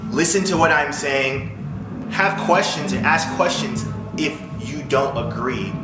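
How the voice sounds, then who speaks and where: A man giving an informal, instructive talk to viewers as a music business owner, often checking in with short questions. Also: mid-range voice. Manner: instructive, punctuated with check-in questions like "okay?" and "all right?". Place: music studio. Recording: roomy echo, music in background.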